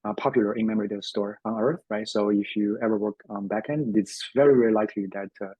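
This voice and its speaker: A man's voice: lowish voice, fairly even intonation, quick pace, darkish tone, husky, no echo, low-quality recording. A man hosting a tech webinar as a developer advocate, speaking casually with lots of fillers and restarts. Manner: hesitant, many fillers and restarts.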